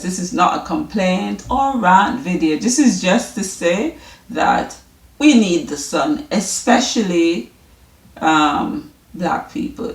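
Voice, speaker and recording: A woman with a Jamaican accent, chatting informally and loosely to her viewers as the host of a wellness vlog. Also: lowish voice. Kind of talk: casual rambling chat to viewers. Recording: echoey room, distant mic.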